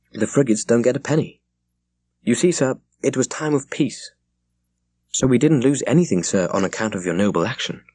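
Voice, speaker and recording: A man's voice: medium pitch, a little mellow, no room sound, close to an everyday voice. A man voicing an eager, deferential first officer in a naval audiobook. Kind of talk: audiobook character voice.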